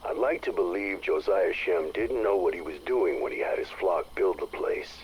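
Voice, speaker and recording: Plainspoken gruff security boss, low voice, wry but uneasy, faint noise, game audio log. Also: male voice, lively intonation, normal speed. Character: gruff, uneasy male chief of security, reflective. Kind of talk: recorded audio log monologue. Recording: noisy audio log tape.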